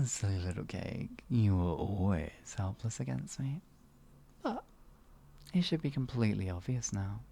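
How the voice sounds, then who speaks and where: A man voicing a sadistic male wizard in an ASMR fantasy roleplay, cruel yet doting, teasing and cooing with soft whispers. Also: lowish voice, expressive, measured pace, vocal fry, pauses often, husky, exaggerated voice. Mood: taunting. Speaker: voice actor.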